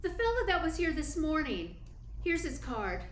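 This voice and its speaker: A woman's voice: sits high, very animated, normal speed. A woman playing a detective's knowing secretary in a noir radio play. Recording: roomy echo, background noise, off mic.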